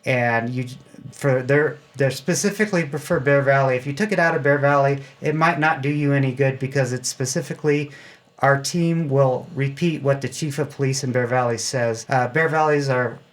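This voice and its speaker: A man speaks as a club member in a casual interview. His voice sits at an ordinary male pitch. He explains things in a halting, loose way, piecing details together as he goes.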